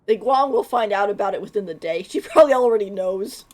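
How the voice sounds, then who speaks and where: A woman's voice: medium pitch, very animated, normal speed, darkish tone, a little hiss or hum. A woman giving casual, informal live commentary as a game streamer, in a chatty manner.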